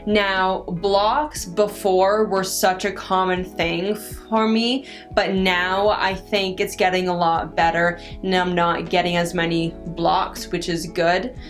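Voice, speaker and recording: Young woman vlogger, casual Q&A, noticeable stutter with repeated first sounds, slow deliberate delivery, faint guitar music underneath. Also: lowish voice, level tone. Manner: halting, many restarts and pauses. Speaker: vlogger answering viewer questions. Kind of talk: casual Q&A chat.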